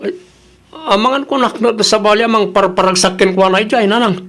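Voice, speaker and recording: A man's voice: high voice, fairly quick, fairly bright tone. A man acts a penny-pinching, demanding husband in an Ilocano audio drama. He has an Ilocano accent and a complaining, controlling way of talking. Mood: anxious. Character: stingy, demanding husband Daniel, turning anxious.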